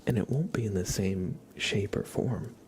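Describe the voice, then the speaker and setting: A young adult man speaking softly and gently as an ASMR livestreamer, half whispering as he chats casually to viewers. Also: fairly low pitch, moderate pace. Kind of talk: soft-spoken ASMR, casual chat with viewers.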